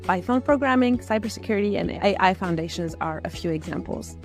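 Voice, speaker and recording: A woman's voice: mid-range voice, lively intonation, brisk. Algerian woman, scripted promo over music. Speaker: founder presenting an e-learning platform. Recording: music behind the voice.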